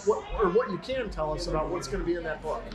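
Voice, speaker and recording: A man's voice: high voice, fast, music in the background. A man hosting a casual vlog and chatting on the radio in an easygoing, conversational way. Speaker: video host and radio operator. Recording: clear car and outdoor background noise.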